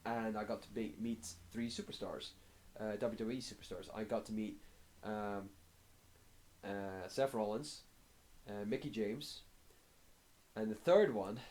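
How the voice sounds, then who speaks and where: A hoarse man with a cold gives a casual progress update to camera, talking haltingly with many filler pauses. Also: fairly low pitch, a little mellow. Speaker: fitness vlogger.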